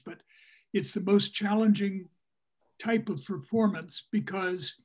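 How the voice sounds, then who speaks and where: A man in his seventies speaking in a measured, deliberate way as a panelist on a video call. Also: high voice, slightly rough. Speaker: panelist, circus music society representative. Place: small room at home, indoors. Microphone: computer mic on a video call.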